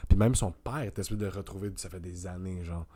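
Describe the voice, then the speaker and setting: A man with a very low, dark and relaxed voice and a Quebec French accent. He talks about films casually, in colloquial slang, as a podcast guest. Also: fast. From Canada. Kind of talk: casual film discussion.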